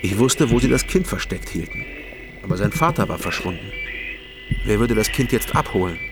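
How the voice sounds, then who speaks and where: A man narrating a crime story in first person and questioning witnesses calmly. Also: on the low side, moderate pace, background noise. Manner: calm, questioning. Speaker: narrator and detective protagonist. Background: music.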